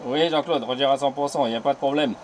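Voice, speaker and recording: A man's voice: medium pitch, fairly even intonation, fast, very noisy. A man on an island chatting over amateur radio, friendly, tagging "là" after nearly every phrase. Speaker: radio amateur filming the contact. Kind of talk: casual radio contact chat. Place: home radio station on an island. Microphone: old handheld mic on a transceiver.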